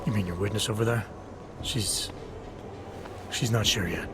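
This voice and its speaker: A man playing a low-voiced, stern agent. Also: measured pace, over music, close to an everyday voice. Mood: guarded. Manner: terse, firm, restrained. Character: stern, principled male Interpol agent and ex-cop. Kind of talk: video game cutscene dialogue.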